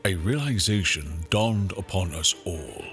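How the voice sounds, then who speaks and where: A grave, mysterious storyteller for an audio drama. His voice is deep and unhurried, with soft music underneath. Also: expressive. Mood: ominous, reflective. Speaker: narrator. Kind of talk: dramatic scripted narration.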